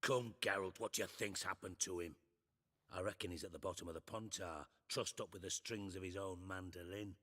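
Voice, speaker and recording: A man's voice: deep voice, steady tone, a little fast, sharp tone. An actor playing a scheming, sardonic male spymaster in a game cutscene, delivering dry, menacing lines.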